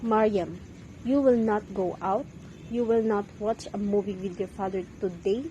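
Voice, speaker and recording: A woman's voice: medium pitch, normal speed, dark tone, highs cut off, a little hiss or hum, natural voice. A woman playing a stern, bitter mother who scolds her daughter, acted in a student radio drama.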